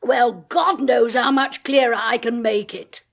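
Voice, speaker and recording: A sharp-tongued, high-voiced former stage ham. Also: female voice, fairly even intonation, measured pace, creaky. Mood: bitter. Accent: British English. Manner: sharp-tongued, dry, flamboyant. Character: sardonic, theatrical middle-aged woman medium, ex-actress. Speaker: clairvoyant. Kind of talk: 1980s radio drama acting.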